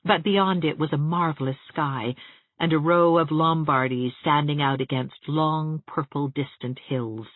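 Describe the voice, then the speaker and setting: A woman narrating an audiobook in an expressive, scripted storytelling style. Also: low-pitched, a little slow, no room sound, phone-quality sound.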